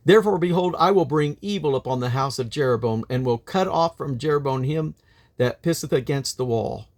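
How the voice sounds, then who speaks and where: A man's voice: mid-range voice, brisk. Solemn man reading scripture aloud. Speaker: Bible reader. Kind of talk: scripture reading aloud from the King James Bible.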